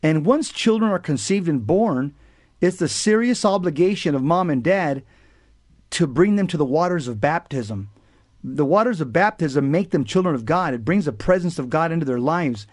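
Man, radio co-host, earnest and emphatic, fairly high voice, close dry studio mic. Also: animated, moderate pace, no room sound. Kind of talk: radio talk show storytelling and teaching.

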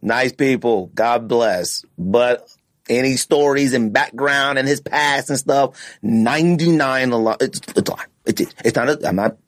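A man's voice: medium pitch, unhurried, no room sound. A man hosting a podcast in casual, opinionated chat, talking bluntly in slang and repeating phrases for emphasis. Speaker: podcast host.

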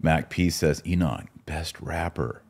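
A man's voice: very deep voice, measured pace, vocal fry, darkish tone. A man hosting a podcast in a studio, chatting casually and reading out live comments in an informal, jokey, slangy way. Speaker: podcast host.

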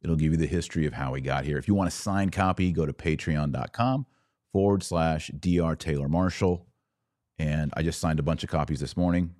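A man's voice: rumbling low, a little fast, darkish tone, dry recording, clean studio sound. An American man giving opinionated solo podcast commentary, sarcastic and emphatic, repeating phrases for effect.

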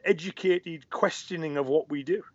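A man's voice: on the high side, normal speed, no room sound. Interview guest, man, encouraging and earnest, restarts words while thinking; dry, dull-sounding recording. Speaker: interview guest, martial arts teacher. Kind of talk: casual conversation. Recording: dry, muffled audio.

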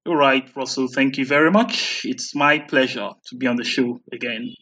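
A man's voice: mid-range voice, fairly even intonation, normal speed, bright, forceful tone. A man with a Nigerian accent answering conversationally as an interview guest. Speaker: interview guest, health and safety coordinator.

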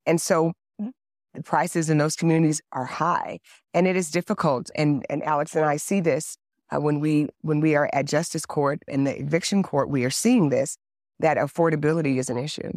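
Woman lawmaker on a panel, earnest, talks steady, dry close sound. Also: sits low, moderate pace, no room sound. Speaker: state legislator on a panel. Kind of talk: panel discussion answer. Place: conference panel.

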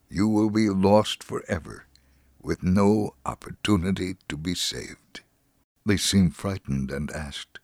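A man reading a religious first-person story as scripted narration, in an earnest, solemn and measured storytelling manner. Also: low-pitched, no room sound, clean studio sound.

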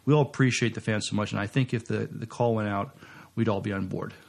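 A man co-hosting a podcast, talking casually in an understated, halting way. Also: fairly low pitch, fairly even intonation, gravelly. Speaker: podcast co-host. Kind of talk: casual podcast chat, reading listener reviews.

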